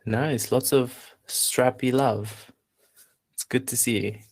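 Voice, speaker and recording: A man from Zambia hosting a live online workshop, chatting casually and haltingly as he reads out attendees' replies. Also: medium pitch, fairly even intonation, darkish tone. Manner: unhurried, halting, reading out chat replies. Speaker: workshop instructor and host.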